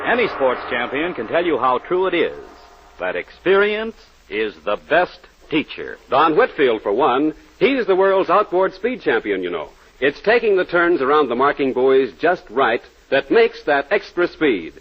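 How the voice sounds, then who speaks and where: A man's voice: a little high, sing-song, measured pace, breathy edge. A man announcing a 1940s radio commercial in a punchy, persuasive sales style. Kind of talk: 1940s radio cigarette advertisement. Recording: dull vintage radio broadcast.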